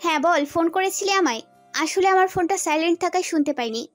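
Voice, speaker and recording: A woman's voice: very high-pitched, fast talker, soft background music. A woman playing a casual young friend chatting in a romantic audio story.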